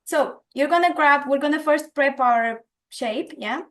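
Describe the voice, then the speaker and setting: A woman from Portugal with a Portuguese accent, explaining a recipe step by step in a calm, patient way. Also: high-pitched, unhurried. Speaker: guest instructor. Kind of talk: casual recipe instruction.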